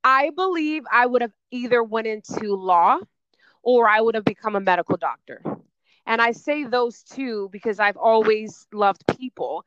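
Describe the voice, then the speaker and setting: A woman answers interview questions in an emphatic, self-assured voice. Her tone is smooth and resonant. The sound is dry and a little dull, with no room around it. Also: a little high, expressive, normal speed, a bit bright, dry recording. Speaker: guest, fitness and wellness coach.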